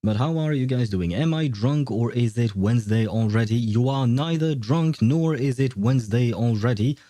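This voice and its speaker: A man's voice: mid-range voice, moderate pace, warm tone, no room sound, studio quality. A man hosting a livestream, chatting casually with viewers in a hesitant, repetitive way. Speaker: livestream host.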